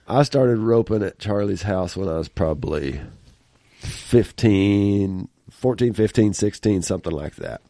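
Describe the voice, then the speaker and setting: A man's voice: on the low side, animated, unhurried, dark tone, no echo. A man hosting a podcast in a studio, chatting in a folksy, talkative way with a stuffy nose and frequent laughs. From rural small-town America. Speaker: podcast host. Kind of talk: casual interview chat.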